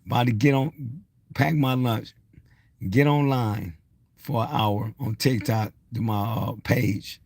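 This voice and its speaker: A man's voice: mid-range voice, fairly even intonation, slow pace, gravelly, a little mellow, breathy edge, dry recording. A man around sixty giving a blunt, insistent motivational rant, unscripted, hammering points through repetition. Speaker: social media motivational speaker.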